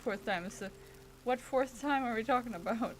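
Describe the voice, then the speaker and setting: A woman's voice: fairly high pitch, moderate pace, a little hiss or hum. A woman streaming a video game with casual, chatty, playful commentary, often muttering to herself.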